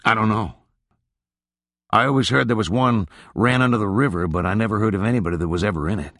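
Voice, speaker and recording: A man voicing a quiet, laconic young man who answers in short, flat replies. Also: lowish voice, quick pace, no room sound, clean studio sound.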